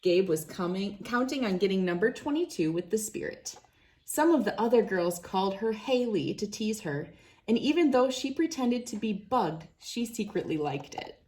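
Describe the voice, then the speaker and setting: Mellow-voiced woman reading a storybook. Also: mid-range voice, expressive, moderate pace. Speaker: children's book reader. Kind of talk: read-aloud storybook narration.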